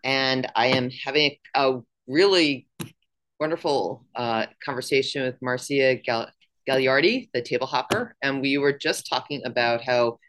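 A woman's voice: a bass voice, measured pace, fairly bright tone. A woman radio DJ hosting an interview show in a studio. She talks in an easygoing, affirming way and leads the conversation.

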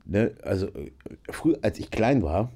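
A man's voice: lowish voice, sing-song, normal speed, warm tone. A young man, a podcast guest from Germany, chatting in a joking, talkative way and laughing often. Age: young adult. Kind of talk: casual chat.

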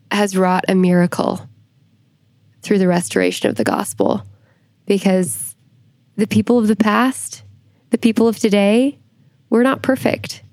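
A woman's voice: medium pitch, a little mellow, no echo. Earnest woman, closely and dryly recorded. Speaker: church historian, podcast guest. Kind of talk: reflective, devotional unscripted discussion.

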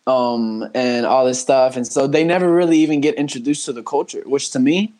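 This is a young man in his twenties, raised in Honduras, who talks as a guest on a podcast. He speaks in a loose, earnest way and keeps restarting his sentences with "um" and "like". His voice sits at an everyday middle pitch for a man. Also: brisk. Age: 20s. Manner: earnest, relaxed, many fillers and restarts. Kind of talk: casual conversational interview.

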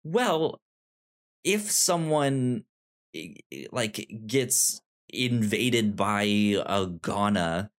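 Halting man, unhurried podcast chat. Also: lowish voice, very animated. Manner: halting, repeats words while thinking aloud. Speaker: podcast co-host reviewing manga. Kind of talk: casual book discussion.

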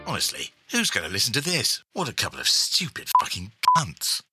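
Man, lively mocking intro voice, crude comic announcer, clear music behind. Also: lowish voice, fairly quick.

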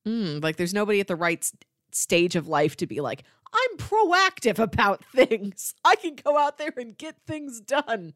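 Animated young woman chatting about film. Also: mid-range voice, a little slow, no echo, studio quality. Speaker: podcast co-host. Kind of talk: casual film discussion.

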